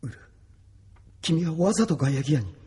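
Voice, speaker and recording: A man's voice: fairly high pitch, sing-song, brisk, dark tone, husky, full character voice. A man playing an earnest young resistance pilot in an anime audio drama, solemn and determined in delivery. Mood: concerned. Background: background music.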